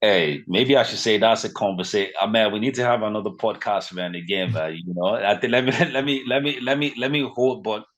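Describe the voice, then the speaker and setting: Brief: man in his thirties from Nigeria, Yoruba first language, very low voice, easygoing chatty guest. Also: brisk, few pauses. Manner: relaxed, lots of fillers like "you know" and "man". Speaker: podcast guest. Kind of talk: casual conversation.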